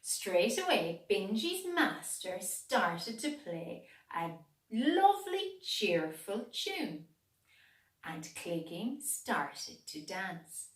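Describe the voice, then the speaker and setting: Slow, lively woman storyteller, echoey and distant. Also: mid-range voice. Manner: expressive, deliberate storytelling. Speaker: teacher reading a children's picture book. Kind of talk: scripted storybook narration for young children. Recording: roomy echo, distant microphone.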